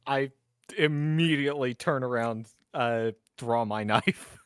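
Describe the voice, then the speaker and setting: A man's voice: mid-range voice, slow, clean tone, no echo, clean studio sound. A man playing a tabletop roleplaying game, improvising casually as his character.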